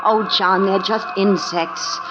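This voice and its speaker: A woman's voice: medium pitch, steady tone, slow pace, very noisy, lightly acted. A woman playing a frightened wife in a 1940s radio drama, breathless and alarmed. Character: frightened young wife of the professor. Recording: echoey dull old radio recording.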